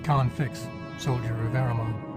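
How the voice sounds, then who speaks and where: An actor playing a grim, vengeful fantasy soldier, giving grave testimony in a game cutscene. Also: male voice, medium pitch, fairly even intonation, normal speed, loud music behind the voice, lightly acted.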